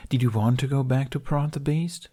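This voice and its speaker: A man's voice: medium pitch, dark tone, slightly rough, voice pushed up, close to an everyday voice. A man voices a jittery young male apprentice in a lifted, earnest tone. He stumbles and hesitates over his words, plainly uncomfortable. A storybook youth read aloud. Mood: hesitant. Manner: hesitant, halting, stammering.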